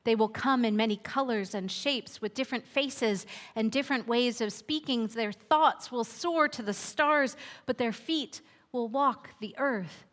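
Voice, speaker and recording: A woman voicing a wise, ancient female turtle who speaks in slow, soft, solemn pronouncements. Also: fairly high pitch, in a large hall. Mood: prophetic.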